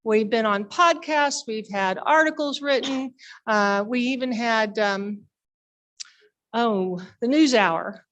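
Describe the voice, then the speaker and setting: A woman's voice: on the high side, takes it slowly, fairly bright tone. A chatty, enthusiastic woman giving an informal report to members at a live meeting as a society director. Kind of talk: informal spoken presentation to members.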